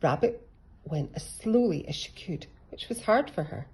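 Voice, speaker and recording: A woman's voice: lowish voice, lively intonation, normal speed, a little mellow, husky. A woman narrating a children's picture book as a storybook reader.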